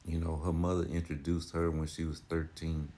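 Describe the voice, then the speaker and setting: A man's voice: a bass voice, little pitch movement, moderate pace, mellow. A man giving casual commentary on religious teaching, preachy and argumentative, full of "you know" and rhetorical questions. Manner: repetitive, filler-heavy, rhetorical questions.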